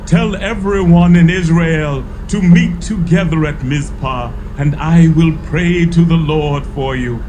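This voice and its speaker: A man's voice: a little high, unhurried, hardly any pauses, a little mellow, slight background noise, lightly acted. A man playing a stern, earnest old prophet in a dramatised audio Bible, exhorting his people with emphasis. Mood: earnest urging.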